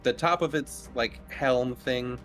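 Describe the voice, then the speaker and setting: Man game-mastering over soft music. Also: medium pitch, slow. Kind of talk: casual live narration of a tabletop horror game. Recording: faint music under the voice.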